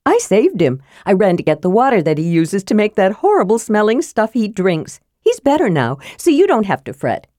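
A woman voicing a small, cheerful, innocent male kender in a fantasy storybook, shrill and eagerly rambling. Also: wildly swooping pitch, normal speed, few pauses, dark tone, dry recording, clean studio sound. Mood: proud, eager. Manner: rambling, eager, breathlessly enthusiastic.

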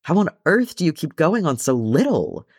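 A man's voice: fairly high pitch, sing-song, moderate pace, slightly rough, no echo, clean studio sound, voice pushed up. A man voicing a cheerful, food-loving young male hobbit in a storybook, chattering eagerly and self-importantly. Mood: eager, incredulous. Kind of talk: storybook character reading.

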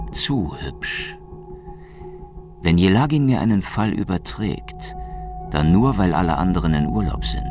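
An actor playing a cynical, tough Soviet secret police detective in a hardboiled radio crime drama. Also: male voice, sits low, gravelly, full character voice. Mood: brooding. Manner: sardonic, terse, hardboiled. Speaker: actor, lead and narrator. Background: music.

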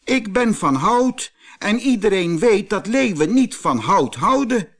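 A man's voice: high voice, sing-song, unhurried, voice pushed up, heavily acted. A man voicing a cross little wooden jack-in-the-box man in a whiny, exclaiming storybook manner. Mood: angry.

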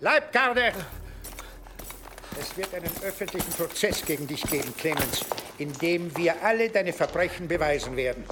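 An actor in a radio play playing a powerful medieval archbishop, accusing and mocking in a deliberate manner. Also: male voice, on the high side, animated, heavy background noise, lightly acted. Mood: triumphant.

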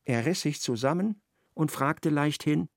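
Gravelly, expressive male narrator. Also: a little high, no room sound, clean studio sound. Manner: measured, expressive storytelling. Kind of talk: literary radio narration.

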